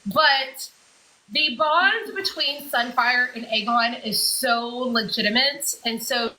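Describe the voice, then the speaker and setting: A woman in her thirties co-hosting a live video call chat, gushing and excitable. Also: a little high, slow, rough recording. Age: 30s. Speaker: podcast co-host.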